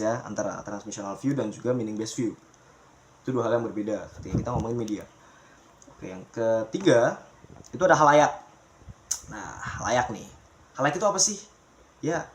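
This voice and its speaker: Young male student, mid-pitched voice; relaxed, chatty class presenter who throws in English jargon. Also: slight background noise. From Pemalang, Central Java, Indonesia. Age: young adult. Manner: chatty, calls the viewers guys, mixes in English terms.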